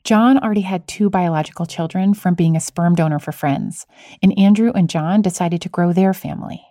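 A woman's voice: on the low side, fairly quick, dark tone, no echo, clean studio sound. A woman hosting a podcast, moving between storytelling narration and conversational interviewing.

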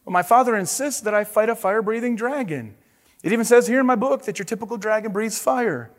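Man putting on a squeaky, earnest young knight. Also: animated, normal speed, fairly bright tone, breathy edge, in a large hall, exaggerated voice. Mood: plaintive. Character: thin, timid young knight, earnest and polite. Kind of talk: children's storybook read-aloud.

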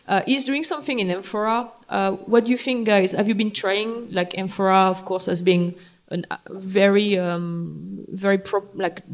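A woman with a French accent moderating a live panel discussion. She talks in a chatty, halting way, with frequent fillers and laughs. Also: medium pitch. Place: event hall.

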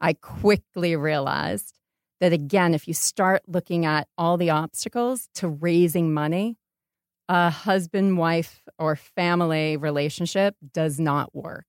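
A woman's voice: on the low side, measured pace, dry recording, studio quality. A woman from New York talking candidly as a startup founder being interviewed, telling things emphatically and acting out little quotes. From New York, United States.

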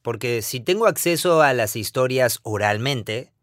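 Male co-host, inquisitive questioner, animated intonation, dry studio mic. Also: mid-range voice, a little slow, no room sound. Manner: asks curious follow-up questions, frequent short agreements. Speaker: podcast co-host. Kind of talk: conversational podcast chat.

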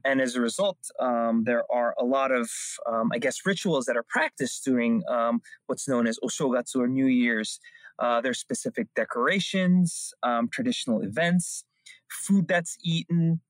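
A middle-aged man from Japan speaking as a radio show guest, relaxed, with many ums and uhs. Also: mid-range voice, no room sound. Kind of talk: interview conversation.

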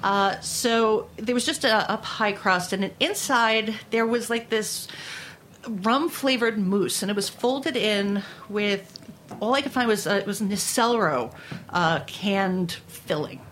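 A woman from Brooklyn, New York, talking candidly and conversationally as an interviewed baker, with animated, sweary storytelling. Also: mid-range voice, slow, a little hiss or hum. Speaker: interviewed baker and food writer.

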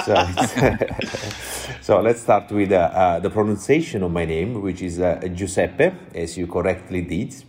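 A man with a very low voice and a clear Italian accent, talking as an interview guest. He is in his forties and tells his story in an easygoing way, scattering "uh" through it and laughing softly. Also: measured pace, slight background noise. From Italy. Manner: warm storytelling with small laughs and frequent "uh" fillers. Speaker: guest, drinks entrepreneur. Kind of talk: casual interview chat.

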